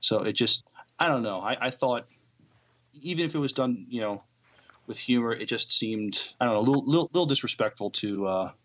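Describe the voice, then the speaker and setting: A man co-hosting a podcast, chatting casually in a halting, hesitant way and often repeating words. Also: mid-range voice, animated, fairly bright tone. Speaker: podcast co-host. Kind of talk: casual chat.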